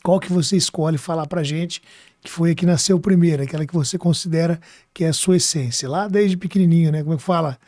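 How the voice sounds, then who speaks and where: A man's voice: fairly high pitch, fast talker, a little mellow, dry recording, studio quality. A man from hosting a podcast, chatting casually, rephrasing his questions and often seeking agreement. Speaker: podcast host. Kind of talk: casual conversational interviewing.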